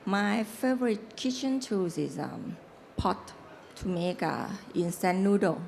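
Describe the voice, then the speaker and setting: A woman from Thailand with a Thai accent, introducing herself in hesitant, halting English. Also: mid-range voice, sing-song, vocal fry, darkish tone, a little hiss or hum. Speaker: government agency staff. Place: conference room.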